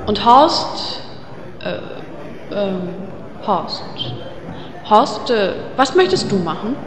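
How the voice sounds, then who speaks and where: A woman's voice: medium pitch, very animated, drawn-out words, roomy sound, long reverb tail, crowd noise. An actress playing a vain, bossy young female elf healer. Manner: bossy, indignant.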